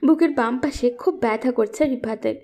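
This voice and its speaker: A woman's voice: a little high, normal speed, warm tone. A woman narrating a scripted romantic story.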